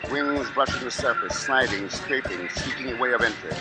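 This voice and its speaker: An actor playing a grave, resolute middle-aged husband and father, narrating in measured, dramatic 1950s radio style. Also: mid-range voice, lightly acted, poor audio. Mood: grim, brooding. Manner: measured, brooding, dramatic delivery. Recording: vintage 1950s radio broadcast, loud music.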